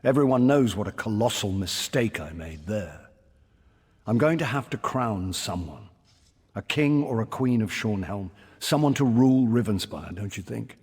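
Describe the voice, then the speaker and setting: Weary fantasy king, lowish husky voice, wry, regal, measured, game dialogue, long reverb. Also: lively intonation, darkish tone. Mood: deliberating. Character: weary, wry high king choosing a successor. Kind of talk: fantasy video game voice acting. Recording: echoing hall-like reverb.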